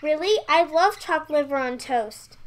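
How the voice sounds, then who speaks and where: A woman's voice: high-pitched, lively intonation, unhurried, a little hiss or hum. A young female performer playing a friendly, eager little neighbor girl in a radio play.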